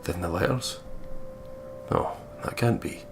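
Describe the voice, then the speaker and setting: A man's voice: sits low, measured pace, over music. A man reading visual novel narration aloud and breaking into jokey, profane commentary as a gaming co-host. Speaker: let's play co-host.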